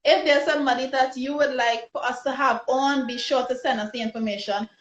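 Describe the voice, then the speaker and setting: A woman's voice: high voice, fairly even intonation, fairly quick, a little hiss or hum. A woman with a Trinidadian accent hosting a live online interview, upbeat and chatty, often restarting her sentences. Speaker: host. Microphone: phone or laptop on a video call. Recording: roomy, dull lo-fi livestream audio.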